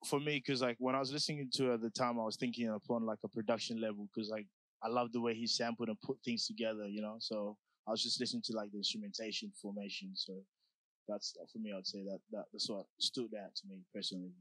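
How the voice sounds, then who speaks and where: A man's voice: on the low side, little pitch movement, fast, fairly bright tone, no room sound, poor audio. A young man from South Sudan, talking about his music in a laid-back, slangy way, full of "like" and "you know". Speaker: rapper guest. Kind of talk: casual interview.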